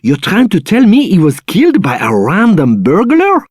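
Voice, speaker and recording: A man's voice: high-pitched, huge pitch swings, normal speed, a little mellow, husky, no echo, clean studio sound, heavily acted. A man playing a nosy, easily shocked barman in a video game, with a theatrical, gossip-hungry manner. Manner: theatrical, gasping, eager for gossip. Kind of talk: video game dialogue acting.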